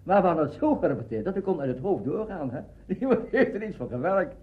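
An older man telling anecdotes as an interviewee, animated and conversational. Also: high voice, quick pace. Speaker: interviewee, veteran radio actor. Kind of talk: anecdotal reminiscence. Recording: dull archive interview recording.

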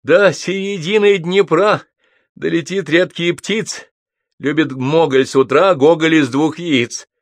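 A man voicing a drunken male poet who jabbers squeakily and recites eagerly. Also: unhurried, dry recording. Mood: tipsy, eager. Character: sloppy dead-drunk male poet reciting silly epigrams.

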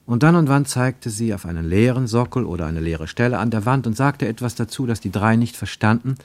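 A man narrating a radio drama in a measured, deliberate storytelling manner, recorded in a studio. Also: mid-range voice, expressive, darkish tone, no room sound. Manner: measured, deliberate storytelling with slowed emphatic phrases.

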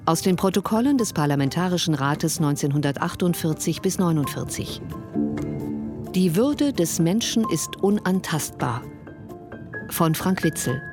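A woman's voice: lowish voice, animated, measured pace, music in the background. A woman reading scripted radio credits as a broadcast announcer.

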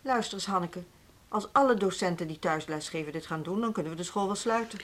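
A woman with a Netherlandic Dutch accent playing a firm, put-upon music school head in a radio drama, brisk and argumentative. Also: on the low side, lightly acted. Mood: defensive. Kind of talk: naturalistic radio play dialogue.

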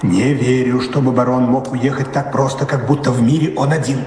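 An actor playing a fussy, indignant manservant in a radio drama, querulous and emphatic. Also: male voice, medium pitch, fairly even intonation, fairly quick, darkish tone, lightly acted. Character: fussy, indignant manservant, servile to his master. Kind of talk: theatrical radio drama acting. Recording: reverberant hall, faint background noise.

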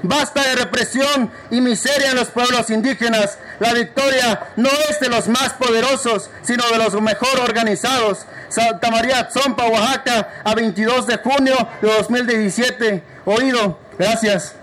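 Very high-voiced man reading a declaration. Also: steady tone, crowd noise, low-quality recording. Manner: measured, declamatory, enumerating points. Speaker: reader of a forum declaration. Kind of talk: formal reading aloud.

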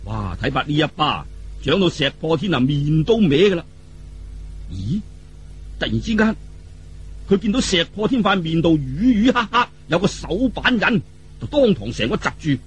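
A man telling a story in colloquial Cantonese, in the animated manner of a traditional oral storyteller. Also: fairly high pitch, normal speed, a little hiss or hum.

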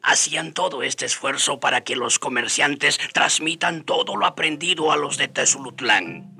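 An actor playing the storytelling narrator of a historical radio drama, speaking clearly. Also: male voice, a little high, animated, normal speed, sharp tone, faint music behind, full character voice. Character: storytelling narrator recalling the friars' mission.

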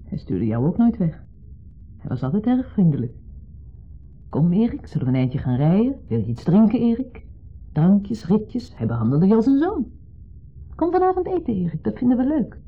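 A woman playing a conciliatory wife in a 1970s radio play, placating and earnest, sometimes hushed. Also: medium pitch, sing-song, moderate pace, long pauses, husky. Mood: gently reproachful. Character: conciliatory wife caught between husband and ex. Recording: muffled phone-like old radio recording.

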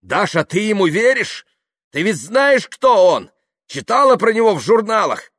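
A male narrator acts a blustering, self-important businessman in an audiobook. He is patronizing and suspicious, quick to swing from condescension to outrage, with a very wide pitch range and a dry studio sound. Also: sing-song, no echo, exaggerated voice. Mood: furious accusation. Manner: smooth condescension breaking into outraged yelling.

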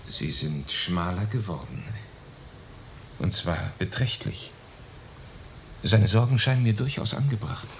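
An actor playing a tactful but firm doctor in a radio drama, measured and formal. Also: male voice, on the low side, animated, a little hiss or hum. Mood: probing, concerned.

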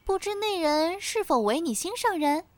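A woman's voice: very high voice, animated, moderate pace. An actress playing a poised, perceptive young lady with a formal, knowing manner in an audio drama. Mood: teasing.

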